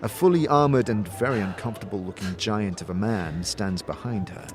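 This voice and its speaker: A man's voice: lowish voice, a little fast, crowd noise. A man narrating a scripted story in the second person, as the narrator of an audio drama.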